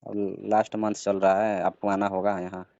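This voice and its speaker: Deep, flat-toned man on an echoey call. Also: normal speed, creaky. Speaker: caller. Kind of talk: casual flirtatious phone chat. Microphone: phone call. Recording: band-limited phone call recording with echo.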